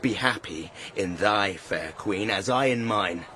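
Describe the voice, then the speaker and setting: A man's voice: on the low side, unhurried, sharp tone, slight background noise. A man voicing a proud, blunt, scornful knight in archaic, declamatory verse storytelling. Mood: defiant, blunt.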